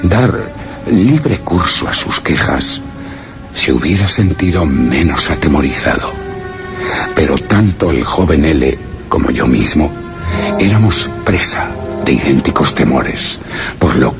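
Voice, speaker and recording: Male narrator, extremely low voice, grave measured storytelling for horror radio theatre, loud music bed. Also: expressive. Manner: solemn, measured, literary delivery. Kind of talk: dramatic scripted first-person suspense narration.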